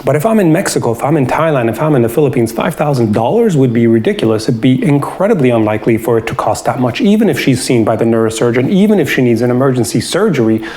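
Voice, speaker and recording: A man's voice: medium pitch, sing-song, fast, few pauses, a little mellow. A man talking in a conversational, instructive way, explaining practical advice as the host of an informal video. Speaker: host, doctor giving travel health advice. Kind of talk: explanatory advice talk with acted-out example dialogue.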